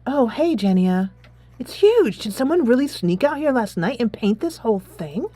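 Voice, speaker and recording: Woman playing a lively, skeptical high school girl. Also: moderate pace, dry recording. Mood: amazed. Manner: casual, questioning.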